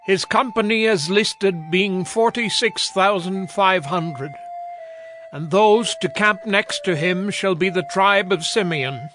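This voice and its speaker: A man with a high voice reads scripture slowly and formally. His delivery is declamatory, and prominent music plays behind him. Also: a bit bright. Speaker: narrator.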